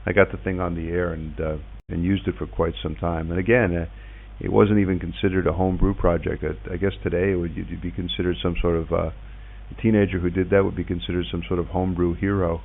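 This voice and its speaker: A middle-aged man chatting casually and reminiscing in a halting way, repeating words as he goes. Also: sits low, flat delivery, vocal fry. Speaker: podcast host and ham radio operator. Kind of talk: casual radio chat, reminiscing. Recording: tinny phone-like internet radio link.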